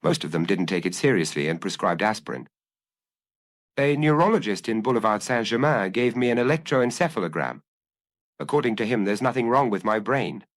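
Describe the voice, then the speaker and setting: A man's voice: on the low side, animated, a little fast, no echo, natural voice. A man voicing an anguished young killer who earnestly confesses and analyzes his compulsion in a dramatic audiobook reading. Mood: anxious.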